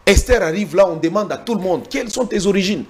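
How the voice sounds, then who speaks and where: Male guest from Congo, emphatic debater with a husky, animated voice. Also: sits high, fast. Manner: emphatic, repeats words when pressed, cites the Bible. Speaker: interview guest. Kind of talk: heated political debate.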